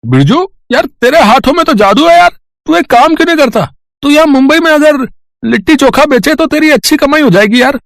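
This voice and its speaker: Eager, high-pitched cartoon buddy. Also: male voice, expressive, fairly quick, creaky, fairly bright tone, no room sound, clean studio sound, full character voice. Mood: excited. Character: eager, helpful young male friend, excitable and chummy. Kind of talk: animated storybook cartoon dialogue.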